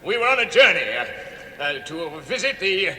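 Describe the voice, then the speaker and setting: An actor playing a proud dwarf leader in a 1960s radio drama, formal, grand and a little halting, in an echoing cavern sound. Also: male voice, high-pitched, sing-song. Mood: wary, courteous. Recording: echoing cavern reverb, crowd noise behind.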